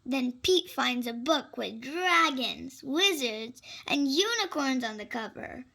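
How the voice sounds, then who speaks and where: Slow, high-pitched, animated storybook reader. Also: gravelly. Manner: animated, simple exclamations and asides to young listeners. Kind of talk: expressive read-aloud for young children.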